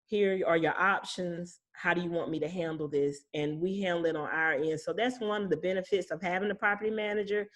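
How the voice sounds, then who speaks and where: A woman's voice: lowish voice, fairly even intonation, a little fast, hardly any pauses. A woman speaking as a guest property manager, explaining conversationally with anecdotes in a plain-spoken, folksy way.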